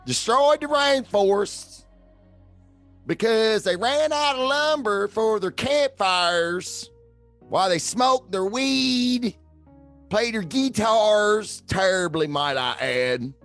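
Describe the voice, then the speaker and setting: A folksy redneck preacher with a Southern American drawl, pitched high and swinging widely as he rants from the pulpit. His fiery sermon unfolds slowly, with faint music behind it. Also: a bit bright, heavily acted. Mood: folksy preaching. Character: folksy, ranting backwoods preacher man. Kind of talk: sermon parody.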